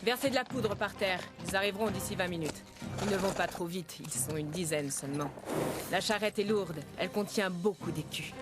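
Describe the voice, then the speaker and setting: A woman's voice: fairly low pitch, brisk, over loud music, lightly acted. A woman playing a sly young bandit leader in a French cartoon, bossy and mockingly polite. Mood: brisk, commanding. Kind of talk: French cartoon dub acting.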